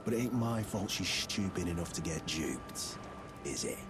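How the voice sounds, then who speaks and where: An actor playing a surly, shifty thug in a video game, blunt and slangy, with a working-class English accent. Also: male voice, low-pitched, animated, moderate pace. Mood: grudging, gossipy. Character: gruff, shifty male thug selling boiled prawns. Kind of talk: video game character acting. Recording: loud music behind the voice.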